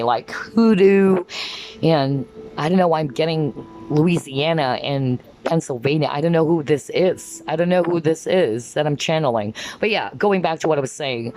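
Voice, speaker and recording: A woman giving a rambling spiritual card reading, filling her talk with "like" and "um" as she pauses to pick up messages. Her voice is going hoarse. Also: sits low, darkish tone, slight background noise. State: losing her voice. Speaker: tarot card reader.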